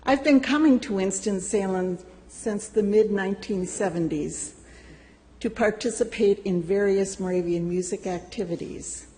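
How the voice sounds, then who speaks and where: An older woman reading a prepared personal testimony to a church congregation, slow and deliberate with gentle humor. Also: medium pitch, in a large hall, rough recording. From United States. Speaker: church member giving a testimony. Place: church sanctuary.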